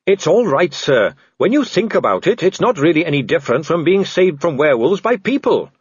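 Guy doing a eager polite young guard captain, audiobook, higher voice, bit muffled recording. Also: very animated, fairly quick, breathy edge, no echo. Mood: earnest, reassuring. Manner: chipper, deferential, matter-of-fact. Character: cheerful, earnest, polite young male watch captain. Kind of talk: audiobook character acting.

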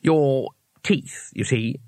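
A man's voice: medium pitch, very slow, a little mellow, no room sound, studio quality. A man voicing an earnest, naive, polite male tourist in an audiobook, cheerful and a little hesitant. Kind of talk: audiobook character voice.

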